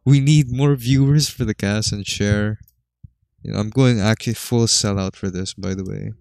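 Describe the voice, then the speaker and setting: A man's voice: lowish voice, steady tone, normal speed, creaky, darkish tone, dry recording, clean studio sound. A young man co-hosting a casual podcast, joking and hesitant, full of fillers. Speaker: podcast co-host. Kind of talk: casual chat.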